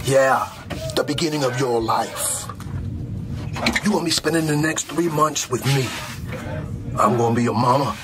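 A middle-aged American man playing a stern, mocking army drill sergeant, barking intimidating, deliberate lines in a comedic roleplay. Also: medium pitch, lightly acted. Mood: stern, domineering. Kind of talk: comedic military ASMR roleplay.

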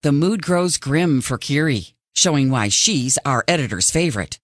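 A female reality-show announcer for a video game. Her voice is low and very lively in its swings, arch and mock-dramatic. It is recorded close and dry. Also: moderate pace, no room sound. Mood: teasing, dramatic.

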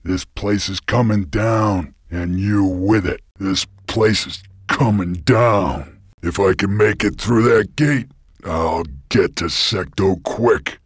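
An actor playing a gruff, laconic bounty hunter creature in a video game, with a gravelly Western American cowboy drawl. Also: male voice, sits low, takes it slowly, dry recording, studio quality, exaggerated voice. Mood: urgent. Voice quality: gravelly, raspy.

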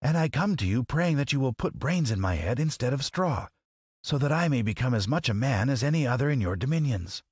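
A man's voice: medium pitch, animated, a little fast, a little mellow, slightly rough, no room sound, clean studio sound, voice pushed up. A man voicing a straw-stuffed scarecrow in a storybook, humble, brave and earnest. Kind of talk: storybook character voice.

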